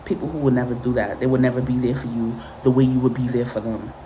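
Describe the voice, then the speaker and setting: A low-pitched woman, raised in Guatemala and Honduras with Spanish as her first language, talks through a spiritual reading in a blunt, cursing, repetitive way. A faint hiss sits under her voice. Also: fairly even intonation, fast, dark tone, low-quality recording. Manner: blunt, profane, emphatic and repetitive. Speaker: tarot and spiritual reader. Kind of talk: casual spoken reading with commentary.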